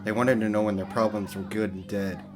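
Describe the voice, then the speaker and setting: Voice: deep voice, steady tone, brisk, music in the background, natural voice. An actor playing an eager, earnest teenage boy ghost hunter in a horror story, insistent and matter-of-fact. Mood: ominous, earnest.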